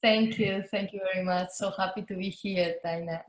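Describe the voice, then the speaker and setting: A woman from Spain, a guest on an online interview, answering gratefully with soft laughs. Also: medium pitch, steady tone, normal speed. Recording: roomy video call audio.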